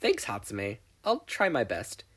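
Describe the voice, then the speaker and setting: A narrator acts out a shy teenage boy in an audio fan story. He is polite, hesitant and eager to do well, with an animated, expressive pitch and a breathy, rough-edged tone. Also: a little slow, exaggerated voice. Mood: nervous, earnest. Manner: hesitant, apologetic, earnest.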